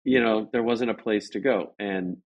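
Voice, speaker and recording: Low-voiced man, halting casual storyteller. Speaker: interview guest, drummer. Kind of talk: casual anecdote in an interview.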